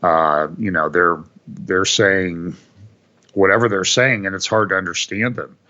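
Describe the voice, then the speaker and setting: A man's voice: deep voice, steady tone, measured pace, bright, forceful tone, muffled recording. A man, a guest on a call-in talk show, chatting about politics in a wry, exasperated way, often going on at length. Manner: exasperated, wry, talks in long runs. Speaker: guest commentator. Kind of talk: casual political chat. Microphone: phone or call line.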